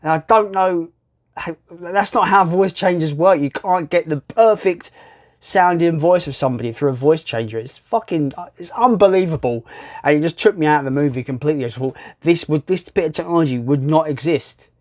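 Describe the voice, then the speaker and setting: A man's voice: a little high, fairly quick. A man reviewing films casually, blunt and sweary, repeating himself for emphasis. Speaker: film reviewer, video host. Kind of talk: casual film ranking commentary.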